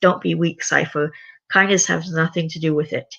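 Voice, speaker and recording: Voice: mid-range voice, steady tone, moderate pace, vocal fry, pushed and bright, muffled recording. Headmaster, a stern commanding master, read aloud by a storyteller. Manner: curt, firm, commanding. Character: stern male headmaster who heals and commands.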